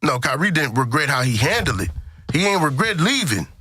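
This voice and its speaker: Animated, bright-voiced American man arguing. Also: on the high side, fairly quick, breathy edge, dry recording, clean studio sound. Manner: argumentative, insistent, repeating phrases for emphasis; slangy, profane. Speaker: podcast co-host. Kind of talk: casual sports and music banter.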